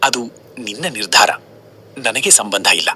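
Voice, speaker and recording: Man playing sharp, arrogant young heir. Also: on the low side, normal speed, a little hiss or hum. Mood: dismissive. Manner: haughty, dismissive. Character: arrogant, greedy young adult son.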